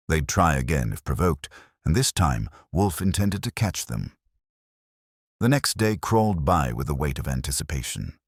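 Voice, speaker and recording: A man's voice: very deep voice, husky, no room sound, clean studio sound. A man reading a scripted first-person detective story as an audiobook narrator.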